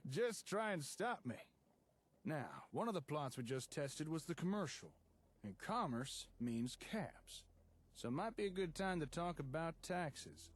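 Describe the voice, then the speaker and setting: An actor playing a chatty, enterprising tinkerer in a wasteland game, talking in a folksy, easygoing, encouraging way, with faint noise. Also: male voice, fairly high pitch, big pitch swings, takes it slowly, husky, heavily acted. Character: chatty, enterprising male tinkerer guiding wasteland settlement builders. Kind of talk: in-game character dialogue.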